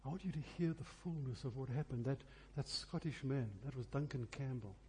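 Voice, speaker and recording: A man preaching a revival sermon earnestly and deliberately, pressing his questions with repeated emphasis. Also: medium pitch, darkish tone, slight background noise. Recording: husky, very reverberant church hall sound.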